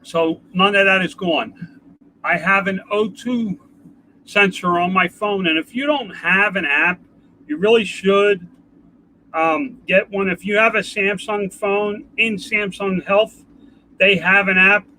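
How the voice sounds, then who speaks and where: An older man with a congested nasal voice, talking in a rambling, halting way in a casual home video update. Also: sits high, fairly even intonation, pushed and bright, a little hiss or hum, rough recording. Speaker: vlogger. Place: outdoors.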